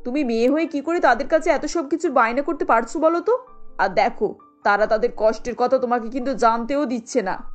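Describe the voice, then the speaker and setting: An older mother-in-law of a cartoon drama, acted by a woman. She admonishes in a measured, high voice. Faint music plays behind. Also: close to an everyday voice. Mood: reproachful. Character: reasoning, reproving older mother-in-law, female. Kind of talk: cartoon story acting.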